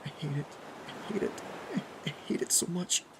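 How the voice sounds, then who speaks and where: A frightened young woman, performed by a male narrator in a horror tale. The voice is pitched up and breaks with raw panic. There is faint noise in the recording. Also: normal speed, lightly acted. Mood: whimpering dread. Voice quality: cracking, whiny when quiet. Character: terrified young woman screaming and whimpering in panic.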